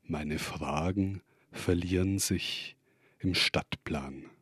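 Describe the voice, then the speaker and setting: A man reading poetry in measured, separated short phrases. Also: low-pitched, a bit bright, slightly rough, dry recording, studio quality. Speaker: poet reading own work.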